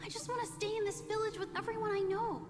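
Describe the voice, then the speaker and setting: Woman voicing a very high-pitched, earnest little boy. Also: steady tone, quick pace. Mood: troubled, reluctant. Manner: childlike, eager, hesitant. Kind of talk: video game voice acting. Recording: reverberant hall, music underneath. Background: loud music.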